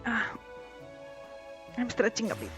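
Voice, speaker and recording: A woman's voice: fairly high pitch, sing-song, unhurried, a bit bright. Chatty woman streamer, Catalan native. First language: Valencian/Catalan. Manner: chatty, laughs easily, reads game text aloud. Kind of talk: casual chat while gaming. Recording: slightly dull lo-fi stream audio. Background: faint game music.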